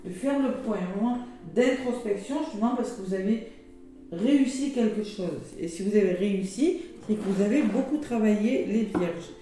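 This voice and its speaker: A hoarse woman chats through a weekly oracle card reading, with plenty of fillers and exclamations. Her voice sounds mellow and dark. It comes from a very echoey room with faint music behind her. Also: medium pitch, moderate pace. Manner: chatty, with many fillers and exclamations. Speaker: tarot and oracle card reader.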